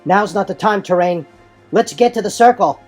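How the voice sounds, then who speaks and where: A man's voice: high voice, normal speed, a little mellow, soft background music, pitched above the performer's own voice. A man voicing a brave, earnest young male fantasy hero with an urgent manner.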